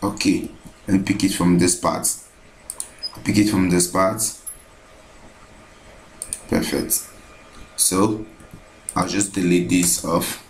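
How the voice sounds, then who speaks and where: A man narrating a screen-recorded software tutorial, thinking aloud as he repeats each step. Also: sits low, level tone, takes it slowly, long pauses, reverberant, slight background noise.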